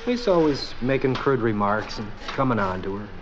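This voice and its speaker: Dutiful sergeant played by a man, lively intonation, dull and noisy radio-spot sound. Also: medium pitch, moderate pace, lightly acted. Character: deferential military sergeant, earnest subordinate. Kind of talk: scripted public service spot acting.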